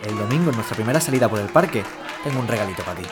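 Man playing a fast-talking flirtatious suitor. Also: fairly low pitch, lively intonation, natural voice. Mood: flirtatious, eager. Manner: teasing, affectionate. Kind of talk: audio drama acting. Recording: music and street crowd behind.

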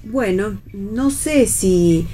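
A woman's voice: mid-range voice, expressive, very slow, mellow, slight background noise. An actress playing a fussy, anxious middle-aged mother in a radio play, with an Argentine accent and a hesitant, solicitous manner. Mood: fussing, insistent. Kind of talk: radio theatre play reading.

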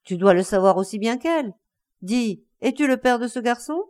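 A woman's voice: on the high side, sing-song, moderate pace, pitched above the performer's own voice. A woman voicing a bitter, enraged older man, a betrayed husband in a story, breathless and insistent in his outbursts. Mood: scornful, insistent.

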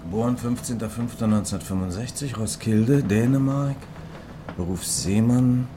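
An actor playing a sceptical police detective in a radio drama, with a dry, clipped, sardonic manner. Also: male voice, mid-range voice, normal speed, natural voice. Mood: suspicious. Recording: loud background noise and ambience.

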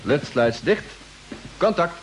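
A man's voice: a little high, lively intonation, takes it slowly. A man playing an obliging spaceship radio operator in an old radio drama, giving short procedural replies. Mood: alert, obliging. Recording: faintly noisy, clicking old radio recording.

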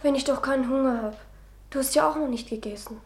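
A female performer in a German radio play as a worried, sullen young girl with a stubborn, hushed manner. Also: sits high, quick pace. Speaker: radio drama actor.

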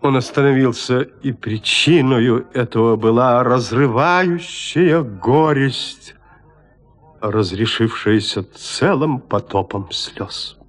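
A man narrating classic literature in an expressive, deliberate, theatrical manner. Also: mid-range voice. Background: music.

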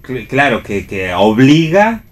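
A man's voice: mid-range voice, very animated, slow. A man with an Argentine accent hosting an unscripted cultural discussion on radio, reflective and often repeating words as he searches for the next one. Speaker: radio theatre show host.

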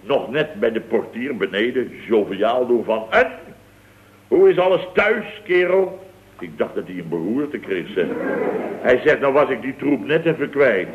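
A man plays an exasperated Dutch boss in an old radio comedy, blustering and exclaiming, forever tagging questions onto his tales. His voice sits at a middling pitch, and the recording is slightly dull. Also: animated, a little slow, natural voice. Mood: indignant, blustering. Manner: exclamatory, anecdotal, full of tag questions. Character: blustering, exasperated male boss of a building firm. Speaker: company director in a radio comedy serial. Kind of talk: late 1960s radio comedy acting.

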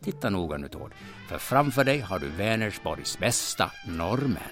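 An actor playing a blustery, gruff older resistance veteran in a comic radio drama, speaking with theatrical emphasis. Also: male voice, low-pitched, very animated, a bit bright, faint music behind. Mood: scolding, bossy.

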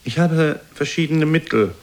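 A man's voice: fairly high pitch, unhurried, lightly acted. A man playing a meek, guilt-ridden aging son in a German radio play, speaking hesitantly and deferentially to his mother. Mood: sheepish. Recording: noisy older radio recording.

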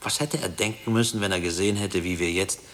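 Deep-voiced, haunted former prisoner. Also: male voice, fast talker, a bit bright, in a large hall. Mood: grave, reflective. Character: haunted husband, former prisoner, brooding over his past. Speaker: actor in a radio play. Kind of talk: 1960s German radio drama acting.

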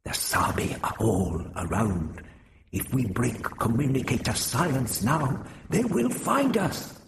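A man's voice: very deep voice, moderate pace, creaky, a bit bright, echoey room, recorded from a distance, a little hiss or hum, heavily acted. Casting: man doing a nervous winged alien, fretful and protesting. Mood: fearful. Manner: anxious, protesting. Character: fearful male winged insect alien.

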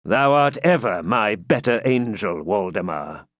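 Vain, imperious prince for a medieval storybook, male reader: pompous archaic phrasing, highly animated pitch, close dry sound. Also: unhurried, no room sound. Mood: anxious, flattering.

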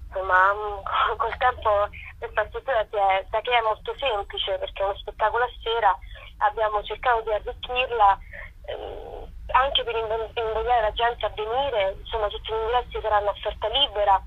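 Muffled, slightly husky woman on the phone. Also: mid-range voice, fairly even intonation, moderate pace, no room sound. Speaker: festival co-director, interview guest. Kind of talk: conversational radio interview. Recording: dull, lo-fi telephone line.

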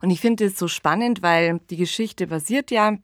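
A woman's voice: lowish voice, normal speed, no echo, studio quality. A woman co-hosting a podcast, presenting and explaining in an engaged way. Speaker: podcast co-host. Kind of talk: podcast discussion with scripted credits.

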